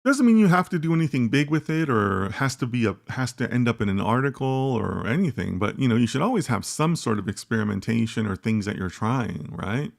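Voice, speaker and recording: A male livestream host with a gravelly, fry-edged voice at an ordinary middle pitch. He talks casually and thinks out loud, circling back on his points with lots of "like" and questions to his viewers. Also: animated, a little fast, few pauses. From United States. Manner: rambling, conversational, many "like" and "right?" tags. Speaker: host of a live data science chat. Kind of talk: casual livestream chat reading viewer comments.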